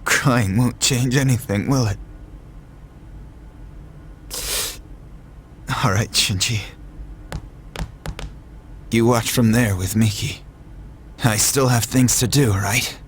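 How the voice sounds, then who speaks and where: A man's voice: mid-range voice, takes it slowly, long pauses, a little hiss or hum, natural voice. A man playing a tough, brooding young fighter wracked with guilt in a video game, speaking in a blunt, earnest way. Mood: resolute, weary. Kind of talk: dramatic video game voice acting. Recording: loud music behind the voice. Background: music.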